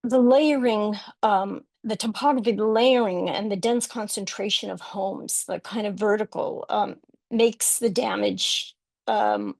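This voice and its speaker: A woman from Chicago, presenting at a webinar in a measured, reflective way, with frequent pauses and fillers. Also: mid-range voice, gravelly, darkish tone, no room sound. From Chicago, United States. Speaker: academic panelist.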